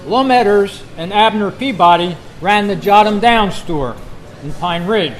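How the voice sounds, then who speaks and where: A man with a notably high voice acts as quizmaster for a club gathering. He reads each trivia question out deliberately, in short phrases, and repeats it for the group. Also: soft background music, low-quality recording. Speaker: quiz host at a club meeting.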